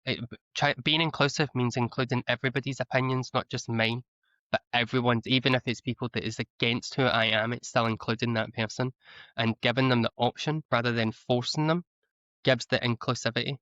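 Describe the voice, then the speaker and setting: A Scottish man in a candid interview, talking earnestly and often restarting and repeating himself. His voice sits at an ordinary middle pitch, recorded dry with a slightly muffled, lo-fi sound. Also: steady tone, brisk, fairly bright tone, no echo. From Scotland. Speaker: guest. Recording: slightly dull, lo-fi audio.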